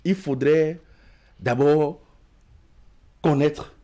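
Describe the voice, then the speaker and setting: Halting African-accented man, mellow voice. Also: fairly high pitch. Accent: African French. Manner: halting, repeating words as he searches for them. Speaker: guest. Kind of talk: talk show conversation.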